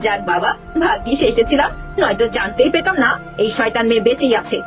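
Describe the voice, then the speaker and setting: A woman's voice: on the high side, expressive, fast talker, over music, close to an everyday voice. A woman voicing a cruel, scheming stepmother in a cartoon fairy tale, scolding and muttering to herself. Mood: gloating, relieved.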